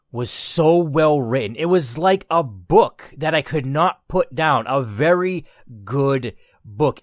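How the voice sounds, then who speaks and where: A man hosting a gaming channel, giving casual commentary on a game in an emphatic, gushing way with drawn-out words. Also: on the high side, dry recording, studio quality.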